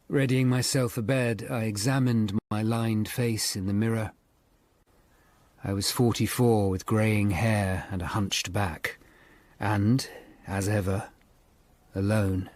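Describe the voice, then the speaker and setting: An English man in his forties playing a thoughtful, melancholy Tudor lawyer who narrates a radio drama in a measured, literate way. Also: lowish voice, fairly even intonation, darkish tone, lightly acted. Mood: melancholy, reflective. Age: 40s. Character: melancholy middle-aged hunchbacked Tudor lawyer, humane and thoughtful. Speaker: narrator and lead actor.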